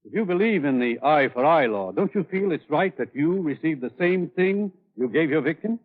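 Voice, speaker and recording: An actor in an old radio thriller playing a composed, sceptical senior doctor, clinical and questioning. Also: male voice, fairly high pitch, expressive, moderate pace. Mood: probing, sceptical. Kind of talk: 1940s radio thriller acting. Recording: tinny, narrow-band vintage radio recording.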